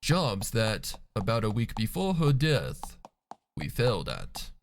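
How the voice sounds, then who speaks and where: Storybook pony butler, male narrator acting: composed, polite, grave, unhurried delivery. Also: wildly swooping pitch, creaky, slight background noise, heavily acted. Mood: grave, explaining. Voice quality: low voice. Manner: polite, deferential, measured.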